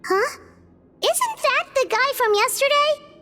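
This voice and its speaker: A little floating fairy sidekick from a video game. The voice is extremely high and squeaky, with lively, swooping intonation. It sounds chirpy and inquisitive, over faint music. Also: female voice, slow, heavily acted. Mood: curious. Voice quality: squeaky, childlike. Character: small floating fairy companion, childlike, chirpy and curious. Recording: music behind the voice.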